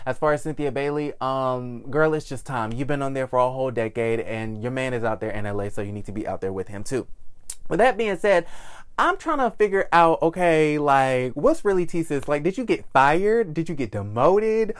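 A young man hosting a celebrity gossip vlog, sassy and animated, seated in a small room. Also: mid-range voice, normal speed, smooth. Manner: sassy, animated, lots of "okay?" asides. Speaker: host. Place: small indoor room with curtains.

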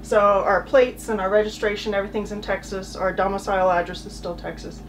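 A woman's voice: mid-range voice, fairly even intonation, a little fast. Cheerful woman in her thirties, guest, recorded off-mic with hum behind. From Arizona and Mexico. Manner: cheerful, laughs often. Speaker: full-time RVer, guest. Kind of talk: casual interview chat. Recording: distant mic, background hum.

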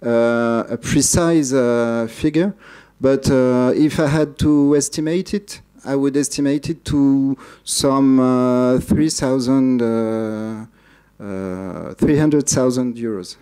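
Hesitant man with a mellow voice. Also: mid-range voice, slow pace, smooth. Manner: hesitant, many uh fillers and restarts. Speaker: conference speaker. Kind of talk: conference Q&A answers. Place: large dark conference hall.

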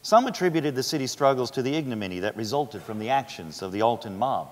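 A man's voice: medium pitch, big pitch swings, quick pace. Man narrating in an echoing theatre. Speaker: stage narrator. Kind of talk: scripted dramatic narration. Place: large theatre stage.

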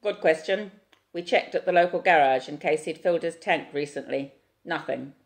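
A woman voicing a calm, official male police officer who speaks briskly and reassuringly. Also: deep voice.

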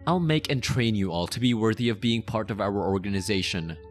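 A menacing, possessive mafia boss played by a man speaks in a fairly low voice over background music. He is playful and teasing, with a theatrical ASMR roleplay delivery. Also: natural voice. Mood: commanding, menacing. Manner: slow teasing, sinister chuckles, theatrical.

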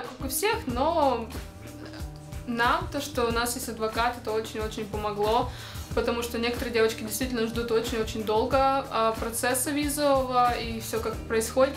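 A young woman chats casually to her camera, rambling from point to point with plenty of fillers. Her voice sits slightly high. The room is echoey, with music playing clearly behind her. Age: young adult. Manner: chatty, rambling, with frequent fillers and laughs. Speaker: vlogger. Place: dark indoor room. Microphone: camera close to face.

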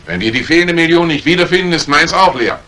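A man's voice: a little high, animated, fast, pushed and bright. An actor playing a sardonic, suspicious man with a pointed, sarcastic delivery in vintage thriller style. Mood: sarcastic, accusing. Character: sardonic, suspicious man who lost his savings. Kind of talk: 1950s German dubbed thriller acting. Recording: faintly noisy vintage soundtrack.

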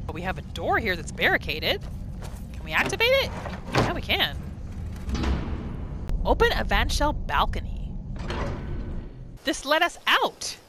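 Excitable woman gamer with very animated delivery. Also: a little high, measured pace, pauses often, noisy background. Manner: excitable, exclaims and talks to game characters. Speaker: gaming video host. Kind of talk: playthrough commentary, roleplaying in third person. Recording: game music behind the voice. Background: video game music and sounds.

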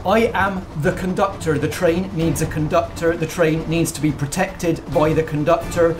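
A man's voice: fairly high pitch, fairly even intonation, moderate pace, background noise, voice pushed up, natural voice. A man playing a frantic ghostly train conductor.

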